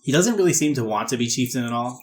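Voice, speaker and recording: A man's voice: mid-range voice, lively intonation, fast talker. A man working as game master, describing a tabletop role-playing scene in an informal, explanatory way.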